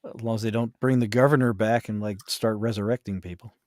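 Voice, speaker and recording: A man's voice: lowish voice, steady tone, brisk, darkish tone, no echo. A man chatting casually as a podcast guest, rambling and self-deprecating, often trailing off mid-thought. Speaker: podcast guest, audiobook narrator.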